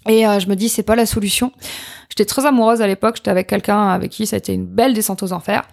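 A middle-aged woman speaks as an interview guest. Her voice sits at a medium pitch. She tells her story candidly, in informal and expressive conversational language. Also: very fast, creaky. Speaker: podcast guest, coach.

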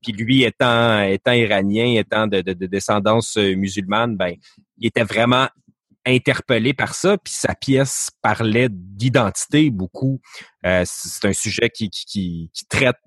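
Hesitant, low-voiced man. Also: measured pace, fairly bright tone, dry recording, studio quality. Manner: hesitant, many fillers and restarts. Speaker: podcast guest. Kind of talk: casual interview talk.

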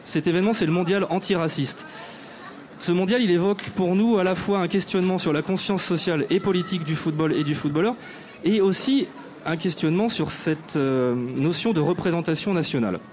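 A man's voice: high-pitched, brisk, darkish tone, a little hiss or hum. A man hosting a live radio show on an outdoor stage, introducing guests in a formal, playfully grand way with frequent hesitations. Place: outdoor stage at an arts venue with audience.

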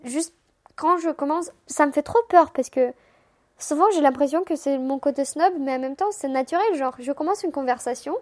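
A woman's voice: high voice, moderate pace, darkish tone. A woman in her twenties from Moldova, a native Romanian speaker, telling her life story casually as a podcast guest, chatty and self-mocking, restarting sentences often. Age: 20s.

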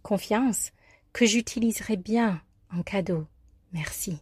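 A woman from the United States with an American accent, giving a scripted, expressive personal talk as a life coach and columnist. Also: mid-range voice, takes it slowly, slightly rough, dry recording, studio quality. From United States, New York. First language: English.

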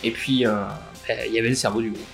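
A man in his twenties playing a nervous, naive young robbery suspect in an audio drama, speaking informally and haltingly. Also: mid-range voice, steady tone, fairly bright tone, faint music behind, natural voice. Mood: hesitant, confiding. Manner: hesitant, informal, stammering. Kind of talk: scripted audio drama dialogue and recounting.

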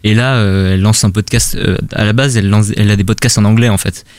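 A man's voice: fairly low pitch, fairly even intonation, fast talker. A man presenting a recommendation on a podcast in casual chat, hesitant and full of fillers. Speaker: podcast panelist.

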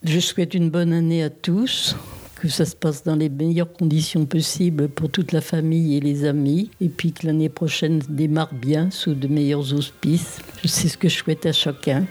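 An older woman calmly answering interview questions and sending good wishes. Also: deep voice, normal speed.

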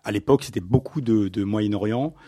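A middle-aged man, a business owner, being interviewed and telling his career story in a relaxed conversational way, with frequent fillers and restarts. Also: lowish voice, fairly quick. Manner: hesitant, many fillers and restarts, livens up when amused.

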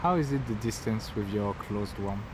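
A man's voice: lowish voice, moderate pace. A man interviewing someone outdoors, asking short, prompting questions. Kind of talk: casual street interview. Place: outdoors on a city street. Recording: outdoor street noise with traffic.

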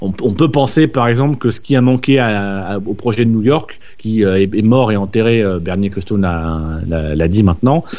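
A man's voice: fairly low pitch, a little fast, hardly any pauses, warm tone, lo-fi sound. A man hosting a casual motorsport chat, often repeating words as he searches for the next one. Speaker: podcast host.

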